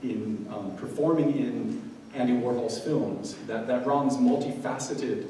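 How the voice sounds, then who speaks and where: Halting American man, echoey distant speech. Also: medium pitch, dark tone. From United States. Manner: halting, many ums and restarts. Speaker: guest speaker, scholar and curator. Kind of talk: unscripted speech at an event. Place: large event room. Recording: echoey room, mic far off, audible noise.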